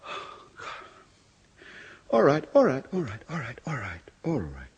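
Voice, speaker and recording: An actor playing a frightened freelance writer in a radio horror drama, husky-voiced and agitated, swinging between muttering and shouting. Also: male voice, medium pitch, wildly swooping pitch, unhurried, heavily acted. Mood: mounting terror. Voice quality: husky, breathy. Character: frightened male freelance writer, jittery, unravelling overnight among waxworks. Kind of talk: old-time radio horror drama acting.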